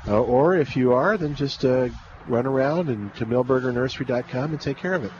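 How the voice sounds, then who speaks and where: Male radio host, chatty and rambling, mid pitch, outdoor remote broadcast, muffled audio. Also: fairly quick, a little mellow, slight background noise. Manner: rambling and chatty, many fillers and restarts. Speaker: radio gardening show host. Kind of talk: live call-in radio chat.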